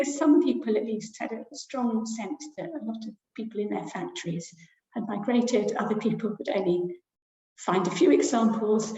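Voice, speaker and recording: A middle-aged woman lecturer giving an academic webinar talk over a video call, pausing often with fillers as she thinks aloud. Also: a little high, warm tone. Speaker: university lecturer presenting research. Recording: roomy, dull lo-fi webinar audio.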